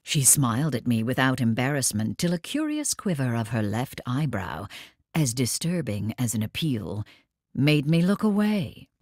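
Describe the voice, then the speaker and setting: An actress playing a dour, impatient woman companion in an audio drama, reciting with a dry, dramatic, measured manner. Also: extremely low pitch, sing-song, darkish tone, no echo, full character voice. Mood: grave, lyrical.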